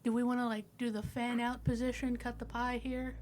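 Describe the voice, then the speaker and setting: Playful higher-voiced woman at game table. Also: little pitch movement, a little fast, darkish tone, slight background noise. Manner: playful, joking. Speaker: tabletop roleplaying player. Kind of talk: casual banter and in-character roleplay.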